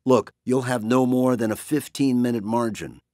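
A man's voice: mid-range voice, steady tone, normal speed, warm tone, no echo, clean studio sound, pitched above the performer's own voice, natural voice. A man voicing a stern, cautious female starship captain in a blunt military manner, for an audiobook. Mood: firm, skeptical. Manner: firm, blunt, formal military.

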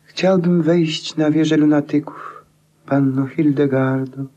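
A man's voice: fairly high pitch, fairly even intonation, slow, warm tone, muffled recording. An actor playing a grandiose, moonstruck eccentric man with theatrical declamation in a 1950s radio play. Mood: solemn.

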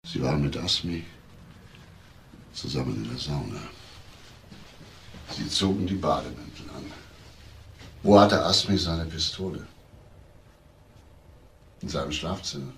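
An actor playing a quiet, probing police inspector in a crime drama, speaking in a terse, measured way. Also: male voice, rumbling low, big pitch swings, vocal fry, distant mic, slight background noise, heavily acted. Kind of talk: German crime audio drama acting.

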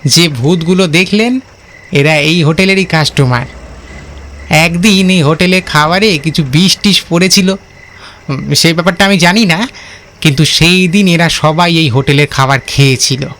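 A man's voice: a little high, normal speed, fairly bright tone, close to an everyday voice. A man playing a protective village van driver in a horror cartoon, talking in a colloquial, admonishing way. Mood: knowing, explanatory. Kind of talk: Bengali horror cartoon voice acting.

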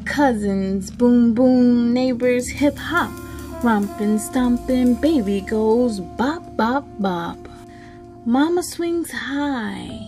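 A young woman reading a rhyming children's picture book like a radio host, playful and stressing the beat. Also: fairly high pitch. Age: young adult. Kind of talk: rhythmic children's picture book read-aloud in radio DJ style. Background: jazz music.

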